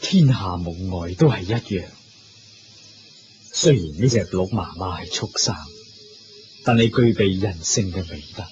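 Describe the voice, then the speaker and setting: A man's voice: low voice, expressive, measured pace, pauses often, music in the background. A man with a Hong Kong Cantonese accent playing a grave, compassionate hunting king in a Buddhist radio drama. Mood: remorseful, moved. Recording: dull lo-fi recording with faint noise.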